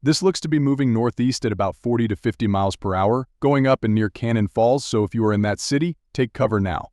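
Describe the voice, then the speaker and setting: A man playing a TV meteorologist who guides viewers through severe storms with steady, urgent, direct instructions in a live broadcast style. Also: mid-range voice, fast, no room sound, clean studio sound, lightly acted. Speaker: TV weather broadcaster.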